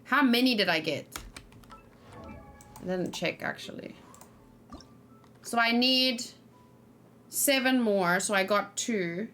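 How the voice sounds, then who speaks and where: A woman doing a casual let's play, excitable and thinking aloud, often repeating her phrases as she goes. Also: on the high side, takes it slowly, pauses often, faint music behind. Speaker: game streamer, host. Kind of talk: casual let's play chat and live game commentary.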